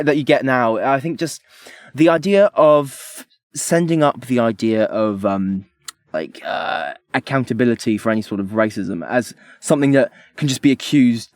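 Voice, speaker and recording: A man co-hosting a podcast, giving casual critical commentary in a hesitant, halting way. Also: medium pitch, dry recording. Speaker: podcast co-host.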